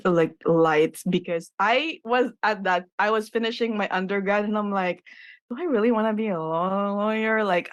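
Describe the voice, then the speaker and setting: A chatty woman hosts a casual chat, telling stories animatedly and acting out what she said. Her voice is mid-pitched. The recording is dry and close. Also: dry recording. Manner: chatty and animated, quotes herself a lot. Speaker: podcast host.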